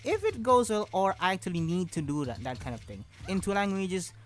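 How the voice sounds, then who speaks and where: Young man with lively pitch. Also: brisk. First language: Indonesian. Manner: rambling, hesitant, self-correcting. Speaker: channel host. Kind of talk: casual channel update.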